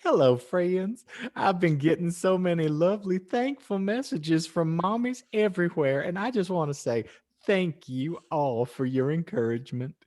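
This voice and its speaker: A man's voice: high-pitched, expressive, moderate pace, darkish tone, pitched above the performer's own voice. A man voicing a pious, chirpy housewife who gushes earnestly as she reads out her moralizing fan fiction as a storybook. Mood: gushing, preachy. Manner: gushing, earnest, exaggerated emphasis. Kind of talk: dramatic storybook narration.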